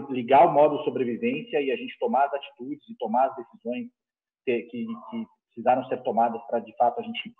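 A middle-aged Brazilian man answering conversationally as a panel guest, halting and repeating words, on a headset video call. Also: medium pitch. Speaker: business executive, panel guest. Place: indoor room. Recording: tinny, narrow video-call line.

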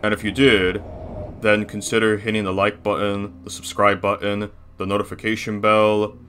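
A man's voice: lowish voice, little pitch movement, moderate pace, fairly bright tone, a little hiss or hum, natural voice. A man voicing a boastful, bossy cartoon bear boss in rambling, self-satisfied improvised banter. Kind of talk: improvised character reaction banter.

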